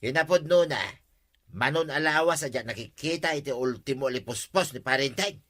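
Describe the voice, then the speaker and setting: A man's voice: medium pitch, moderate pace, a bit bright, close to an everyday voice. A man playing a grumbling villager fed up with officials in an Ilocano comedy drama.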